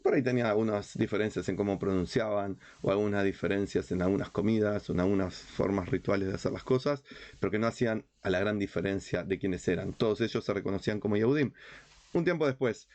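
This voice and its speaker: A man's voice: fairly low pitch, fast, darkish tone. A man from Uruguay with a Rioplatense accent, teaching religious history as a rabbi in an informal, didactic and emphatic lecture style. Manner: didactic, emphatic, with rhetorical questions and repetition.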